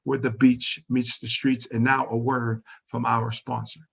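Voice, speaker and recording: A man's voice: mid-range voice, little pitch movement, unhurried, gravelly, heavy background noise. A man hosting a radio-style interview show, speaking in an effusive, gracious manner. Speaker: show host. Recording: dull lo-fi audio.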